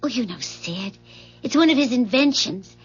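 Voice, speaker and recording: High, flustered, husky housewife. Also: female voice, lively intonation, normal speed. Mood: evasive, hesitant. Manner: fluttery, hesitant, self-correcting. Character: flustered, polite housewife, inventor's evasive wife. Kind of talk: 1970s radio drama acting. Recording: dull vintage 1970s radio recording.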